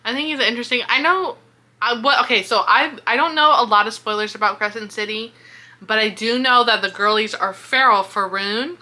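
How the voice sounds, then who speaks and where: A woman chatting casually about a book, expressive, with plenty of slang and swearing. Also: fairly high pitch, a little fast. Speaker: book reviewer and vlogger.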